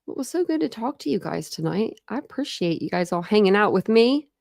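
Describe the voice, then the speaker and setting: A chatty woman hosts a loose true crime livestream and wanders between topics with quick asides. Her accent blends the American Midwest and South, her pitch sits in a middle range, and the sound is dry and close. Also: sing-song, creaky, a little mellow, no echo. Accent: mixed Midwest and Southern American. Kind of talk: casual livestream chat reading comments.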